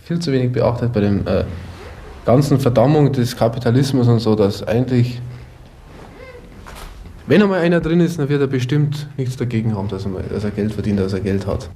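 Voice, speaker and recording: A man talks off the cuff in a halting way, doubling words as he looks for the next phrase. His voice is mid-pitched and soft-toned. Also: slight background noise. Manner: halting, repeats words while searching for phrases. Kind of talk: casual spontaneous opinion in a radio collage.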